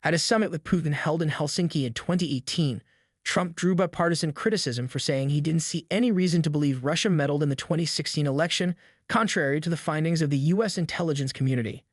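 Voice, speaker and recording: A man's voice: a little high, fast, no echo, clean studio sound. A man reading a news article aloud as scripted narration.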